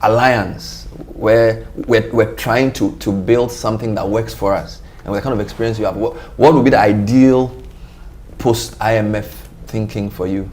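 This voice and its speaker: A man with a Ghanaian accent speaks as a television interviewer. His voice is fairly low and his delivery is conversational. There is faint noise in the background. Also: steady tone, moderate pace, darkish tone. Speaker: TV interview host.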